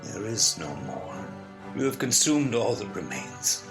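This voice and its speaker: A male actor as an ancient alien sage, stern and solemn, with a low voice and slow, weighty delivery. He speaks like a portentous master in a dramatic motion comic, with faint music underneath. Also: very animated. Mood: solemn, cryptic.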